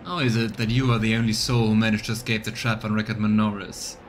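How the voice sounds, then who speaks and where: A man doing live let's play commentary, reading game text aloud and thinking out loud for his viewers. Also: on the low side, level tone, quick pace, slight background noise. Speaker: live streamer voicing a game playthrough.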